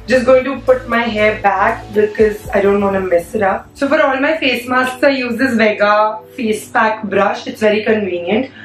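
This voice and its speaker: A hoarse young woman, chatting casually as a beauty vlogger, full of "like" fillers. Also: medium pitch, moderate pace, few pauses. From India. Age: young adult. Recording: echoey room, a bit far from mic, faint music.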